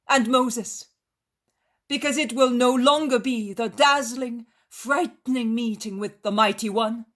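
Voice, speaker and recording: A woman voicing a gentle, kindly male holy teacher in a storybook reading, solemn, reassuring and emphatic. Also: on the high side, voice pushed up, natural voice. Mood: exalted, tender. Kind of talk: dramatized devotional storybook reading.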